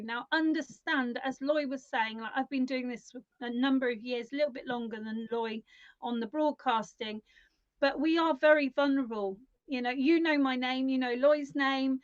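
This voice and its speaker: A woman's voice: sits high, no room sound. A woman in her forties giving soothing psychic readings on a live stream, calling listeners darling and love. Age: 40s. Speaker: psychic reader, co-host. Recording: dull, dry lo-fi stream audio.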